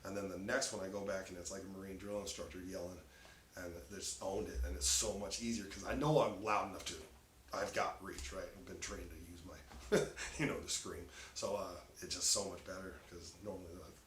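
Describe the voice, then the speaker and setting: A man with a raspy voice, a stand-up comic telling stories in a casual chat with lots of laughter. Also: deep voice, brisk, fairly bright tone. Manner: loose, laughing storyteller. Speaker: stand-up comedian, guest. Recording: echoey room, faint noise.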